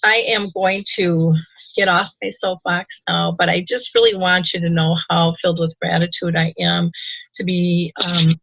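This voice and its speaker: A woman hosts a radio talk show in a chatty, enthusiastic way, filling her pauses with ums. Her voice sits on the low side, and the sound is dry and a bit dull, like a lo-fi recording. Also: flat delivery, gravelly, fairly bright tone, no room sound.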